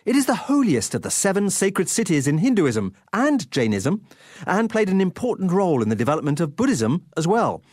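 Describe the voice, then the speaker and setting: A man's voice: high voice, sing-song, brisk, darkish tone, dry recording, clean studio sound. A man hosting a radio travel show, narrating in a polished, informative way.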